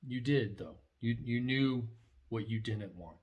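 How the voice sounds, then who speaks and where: A man with a medium-pitched voice chats casually as a co-speaker in a vlog. He is supportive and encouraging, often rewording his point as he goes. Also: unhurried, muffled recording. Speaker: vlogger's husband as co-speaker.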